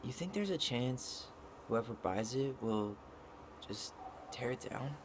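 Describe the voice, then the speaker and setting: A gentle, sentimental young American man in a video game. He talks casually and earnestly at a middling pitch. There is clear noise and ambience behind him. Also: expressive, takes it slowly. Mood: wistful. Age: young adult. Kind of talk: naturalistic video game cutscene acting. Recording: noisy game stream capture with ambience.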